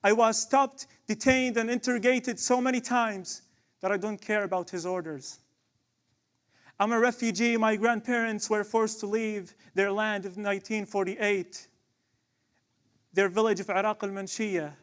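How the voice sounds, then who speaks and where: A man's voice: high-pitched, fairly even intonation, a little slow, a bit bright, clear, resonant tone. A young man from Palestine, performing impassioned spoken word poetry with rhetorical questions and repeated refrains. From Palestine, West Bank.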